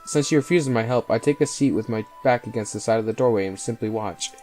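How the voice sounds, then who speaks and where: A man's voice: medium pitch, fast, darkish tone. Man narrating a game over faint music. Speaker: gameplay video host. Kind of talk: reading visual novel narration aloud.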